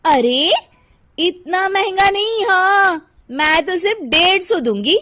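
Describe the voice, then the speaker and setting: A haughty, sharp-tongued young housewife who snaps at everyone, voiced by a woman. Her voice is high and swings widely, and she speaks in an unhurried way. She is a cartoon storybook character. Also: lively intonation, full character voice. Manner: sharp, rude and scornful.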